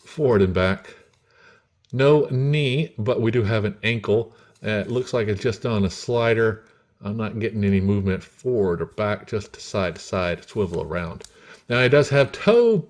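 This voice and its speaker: A man giving a casual, unhurried product review, talking in short phrases with pauses and repeating himself. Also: fairly low pitch, lively intonation, darkish tone. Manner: unhurried, repetitive, pausing between short phrases. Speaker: toy reviewer, host.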